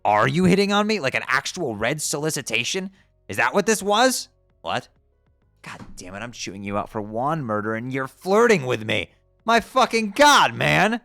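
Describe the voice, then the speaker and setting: A man voicing an abrasive teenage troll boy who yells insults and swears constantly. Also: lowish voice, big pitch swings, moderate pace, vocal fry, no echo, clean studio sound, soft background music, heavily acted. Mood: angry shouting. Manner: yelling, profane, insulting. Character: furious shouting teen troll boy, abrasive. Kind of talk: fan dub of a chat comic.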